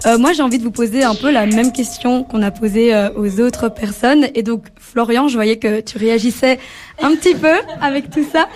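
A young woman hosts a student radio discussion with a slightly high voice. She pauses often and fills the gaps with hesitant sounds, with faint music somewhere behind her. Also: a little mellow. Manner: hesitant, many filler sounds. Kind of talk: hosting a radio workshop chat.

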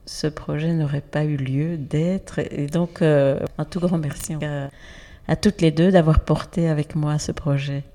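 A woman's voice: low-pitched, fairly even intonation, unhurried, warm tone. Hesitant woman in an echoing room. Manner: hesitant, many fillers. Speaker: project leader. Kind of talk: thank-you speech and introduction.

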